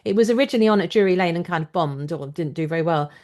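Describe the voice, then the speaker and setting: A woman's voice: fairly low pitch, lively intonation, racing, a little mellow. A British woman hosting a conversational interview, talking in a chatty, opinionated and enthusiastic way. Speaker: podcast host and interviewer.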